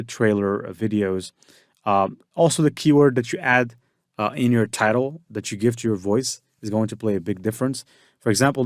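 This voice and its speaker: A man giving a casual software tutorial, explaining step by step with frequent fillers and restarts. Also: on the low side, dry recording, studio quality. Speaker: tutorial instructor.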